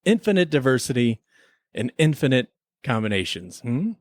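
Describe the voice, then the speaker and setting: A man's voice: medium pitch, sing-song, moderate pace, darkish tone, dry recording. Man casually hosting a podcast, dry close sound. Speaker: podcast host. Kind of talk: casual episode review chat mixed with playful time-travel skit.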